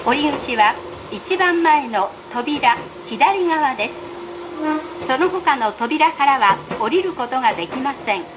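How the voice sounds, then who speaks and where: A woman's voice: high voice, expressive, a little slow, music in the background, poor audio. A woman reading a scripted train announcement in a formal, evenly enunciated way, over the carriage speakers. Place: played over speakers inside a moving train. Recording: train PA with clear running noise. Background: train running noise.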